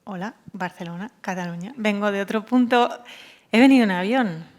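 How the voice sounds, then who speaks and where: A woman's voice: medium pitch, normal speed, darkish tone. A woman speaking informally on a panel, colloquial and anecdotal, repeating words for emphasis. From Asturias, Spain. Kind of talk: informal round-table talk.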